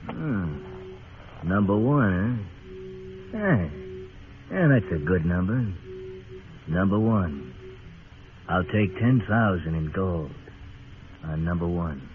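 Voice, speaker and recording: An actor playing a cocky, menacing outlaw in an old radio western, with a drawling, taunting delivery. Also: male voice, low voice, expressive, slow pace, long pauses. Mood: greedy, confident. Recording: noisy, tinny old radio recording.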